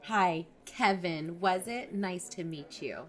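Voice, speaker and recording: A woman's voice: on the low side, slow. An actress playing a wary, candid young woman who narrates and talks in an audio drama in a conversational way. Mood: falsely casual. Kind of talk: first-person audio drama narration and dialogue.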